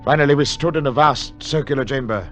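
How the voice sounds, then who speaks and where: A man's voice: medium pitch, fairly quick, lightly acted. An actor playing a scholarly, awestruck adventurer who tells his tale earnestly and dramatically in 1940s radio style. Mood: awed narration. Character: male scholarly adventurer narrating, sceptical and awestruck. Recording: dull 1940s radio recording with music.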